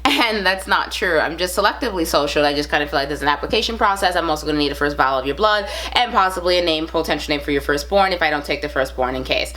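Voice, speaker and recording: A woman's voice: sits low, expressive, quick pace, hardly any pauses, a bit bright, slight background noise. A woman in her thirties with a Brooklyn accent, chatting casually to camera with lots of fillers and rambling asides. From the Bronx, New York. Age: 30s. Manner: rambling, chatty, with frequent fillers and self-amused laughs. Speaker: vlogger.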